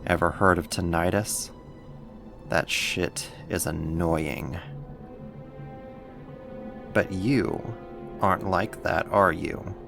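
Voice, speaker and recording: A man's voice: low-pitched, creaky, music in the background, heavily acted. A man playing a teasing, possessive, menacing villain kidnapper in an ASMR roleplay, drawing out his taunts with sighs. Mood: sadistic, teasing. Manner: slow, drawn-out teasing with sighs.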